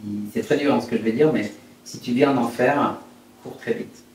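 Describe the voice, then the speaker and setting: A man in his fifties from Brittany, France, telling his life story in an interview in a hesitant, reflective, halting way. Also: mid-range voice, darkish tone. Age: 50s. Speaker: interviewed entrepreneur. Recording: very echoey room, distant mic, faint noise.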